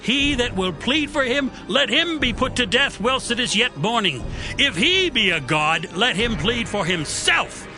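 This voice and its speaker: A man's voice: extremely high pitch, sing-song, unhurried, over music, exaggerated voice. A man playing an indignant, defiant village father in an audio Bible drama, declaiming against a mob.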